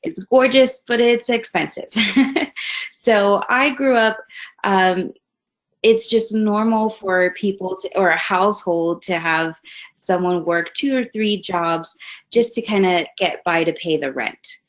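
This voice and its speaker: A woman's voice: medium pitch, takes it slowly. A woman in her thirties from Hawaii giving a friendly, conversational webinar talk as guest presenter, with plenty of fillers. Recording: slightly dull webinar audio.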